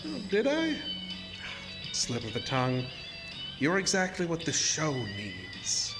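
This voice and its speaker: An actor playing a sinister, courtly spook-house keeper in a horror audio drama, mock-polite and theatrical. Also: male voice, on the high side, huge pitch swings, slow, music in the background, full character voice. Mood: suave, probing. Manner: suave, mock-polite, theatrical, formal wording.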